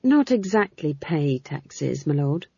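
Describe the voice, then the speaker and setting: A narrator voicing a nervous male castle chamberlain who stammers and fumbles through excuses, with a husky voice. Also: medium pitch, very animated, slow pace, warm tone, no room sound, lo-fi sound, exaggerated voice. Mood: flustered. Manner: flustered, stammering.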